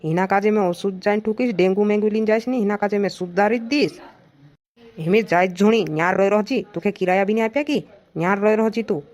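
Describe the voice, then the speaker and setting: A woman's voice: medium pitch, fast talker, a little mellow, natural voice. A woman voicing a stubborn, argumentative young village son in a cartoon comedy, always complaining and answering back.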